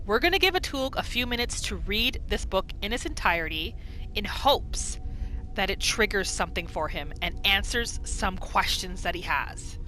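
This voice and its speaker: A woman hosting a gaming roleplay series, telling the story in short phrases with dramatic pauses. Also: medium pitch, animated, bright, forceful tone, faint music behind, rough recording. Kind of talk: storytelling gameplay narration.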